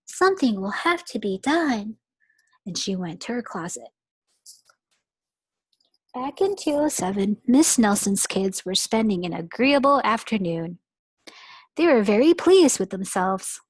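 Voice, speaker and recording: Brief: expressive teacher reading aloud to little kids, slow pace, dry close sound. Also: female voice, medium pitch, husky, no echo, rough recording. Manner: expressive, storytelling. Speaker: pre-kindergarten teacher. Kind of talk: children's read-aloud.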